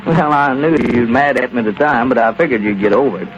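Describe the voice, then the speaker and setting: An actor playing a plain-spoken country friend with chuckling asides in a 1930s radio comedy. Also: male voice, medium pitch, quick pace, noisy background, natural voice. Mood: friendly. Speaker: townsman Dick. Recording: lo-fi old radio broadcast.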